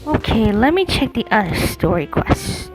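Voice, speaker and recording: A woman's voice: a little high, sing-song, normal speed, poor audio. A woman giving casual gameplay commentary as a gaming streamer. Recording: game music behind the voice.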